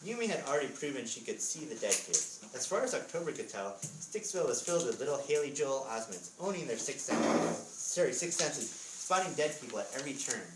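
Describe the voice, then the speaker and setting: A man's voice: medium pitch, sing-song, fairly quick. A man reading a story aloud as an author at a readers theatre, with casual asides. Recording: echoey room, distant mic, background noise.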